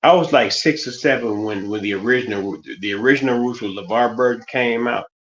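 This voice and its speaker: A man's voice: medium pitch, fairly quick. A man in his fifties hosting a stream, talking in a loose, rambling way with lots of fillers and restarts. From United States. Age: 50s. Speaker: stream host. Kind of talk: casual monologue. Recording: slightly dull lo-fi stream audio.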